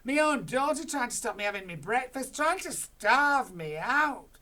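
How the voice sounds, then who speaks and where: A storyteller voicing a bossy, bad-tempered elderly grandmother who rants loudly and slowly. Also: mid-range voice, big pitch swings, pitched above the performer's own voice. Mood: indignant shouting. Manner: loud, slow, indignant ranting. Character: bossy cranky elderly grandmother, shrill and demanding.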